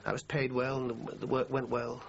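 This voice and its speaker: A man's voice: medium pitch, flat delivery, brisk, creaky, lightly acted. An actor playing a defensive, down-on-his-luck film composer in a radio crime drama, hesitant and prickly in his self-justification. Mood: subdued, regretful. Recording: muffled, noisy radio drama recording.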